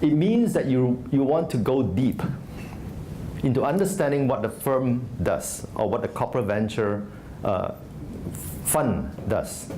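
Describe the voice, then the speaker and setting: A man's voice: medium pitch, animated, unhurried, dark tone, slight background noise, poor audio. A man from Singapore, an investor giving a conversational conference talk full of fillers and restarts. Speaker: venture investor speaking at a startup conference. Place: conference stage.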